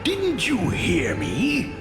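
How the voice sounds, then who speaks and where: A man's voice: very high voice, wildly swooping pitch, extremely slow, full character voice. A man playing a haughty, zealous priest in a fantasy game, speaking formally with pompous disdain for heretics. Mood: angry. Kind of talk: fantasy video game acting. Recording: echoey hall with music behind.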